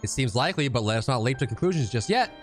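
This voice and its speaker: A man's voice: mid-range voice, lively intonation, rapid-fire, soft background music, close to an everyday voice. A man voicing an earnest, polite young woman scholar from a fantasy game, formal and bookish. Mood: thoughtful.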